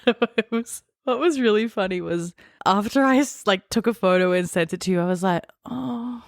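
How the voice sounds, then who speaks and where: A woman co-hosting a podcast, chatting casually about books in an expressive, giggly, self-deprecating way. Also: mid-range voice, normal speed, no echo, studio quality. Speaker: podcast co-host. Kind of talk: casual book discussion chat.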